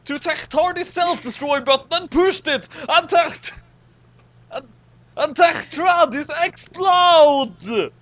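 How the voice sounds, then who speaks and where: A man voicing a bombastic, over-excited storyteller, declaiming a doomsday sci-fi tale in a melodramatic shout. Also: extremely high pitch, takes it slowly, pitched above the performer's own voice, exaggerated voice. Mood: bombastic, frantic. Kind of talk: mock-epic dramatic reading.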